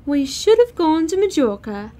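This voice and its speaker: Snippy, higher-voiced discontented wife. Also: female voice, big pitch swings, moderate pace, warm tone, faint music behind. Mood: needling, irritated. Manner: snippy, sarcastic, imperious. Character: sharp, discontented wife on holiday in Ireland. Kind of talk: audio drama acting.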